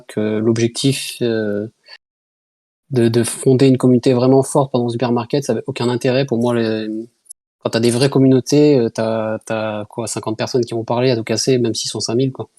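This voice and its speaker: A man's voice: mid-range voice, dark tone, no echo. A man, founder of a small online project, talking in casual voice chat with lots of fillers and restarts. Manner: hesitant, many fillers and restarts. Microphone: computer mic on a voice chat.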